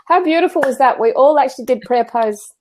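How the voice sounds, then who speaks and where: A woman's voice: high voice, expressive, fairly quick, a little mellow. A woman hosting a casual group video chat, effusive and enthusiastic. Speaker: host.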